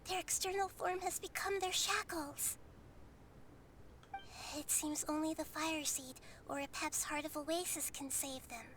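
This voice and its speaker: A woman's voice: high voice, steady tone, unhurried, a bit bright, a little hiss or hum. A woman voicing a small, childlike fantasy girl in a video game, speaking earnestly. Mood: earnest, thoughtful. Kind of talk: scripted video game voice acting.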